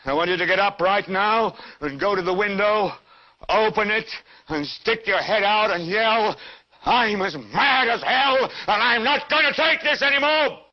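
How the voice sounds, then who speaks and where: An actor playing a furious, prophetic TV news anchor, ranting in oratorical, repeating cadences like a zealot in a film monologue. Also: male voice, high-pitched, moderate pace, dull audio, lightly acted. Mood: urgent fury.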